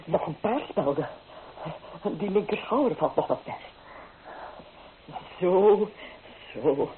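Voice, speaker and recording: On a thin, hissy old radio recording, a performer plays a fawning, effusive dressmaker with a theatrical, very animated delivery. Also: mid-range voice, slow pace, phone-quality sound. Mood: gushing, fawning. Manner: gushing, obsequious, theatrical. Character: fawning, effusive dressmaker gushing over clients. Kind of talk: radio play acting.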